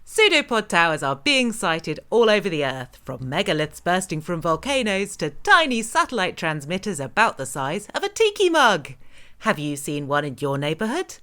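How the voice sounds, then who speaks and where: An actress playing a scheming, imperious broadcast host, theatrical and dryly menacing. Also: female voice, fairly low pitch, sing-song, normal speed, a bit bright. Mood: showy announcing. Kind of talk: theatrical radio host narration.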